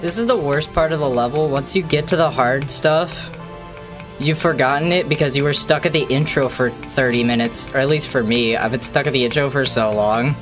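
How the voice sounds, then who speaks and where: A man's voice: medium pitch, moderate pace, music in the background. Rambling, joking young man streaming. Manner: rambling, joking, swears freely. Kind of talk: casual live-stream chatter while gaming.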